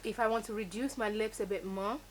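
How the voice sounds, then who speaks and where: A woman's voice: medium pitch, steady tone, fairly quick, slight background noise. Woman teaching makeup. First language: Yoruba. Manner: instructive, emphatic, repeats key words. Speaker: makeup tutorial host. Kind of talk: step-by-step beauty tutorial.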